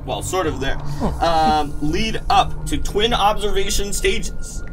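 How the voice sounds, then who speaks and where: A man's voice: high-pitched, animated, takes it slowly, music in the background, poor audio. A man narrating a tabletop game session as game master, with a casual, chatty manner.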